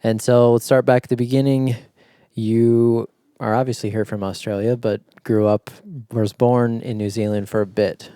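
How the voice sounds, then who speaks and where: A man's voice: mid-range voice, little pitch movement, moderate pace, hardly any pauses, dark tone, smooth, no echo, clean studio sound. A young American man hosting a podcast, asking questions in an easygoing way with many fillers. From United States. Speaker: podcast host. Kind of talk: casual interview.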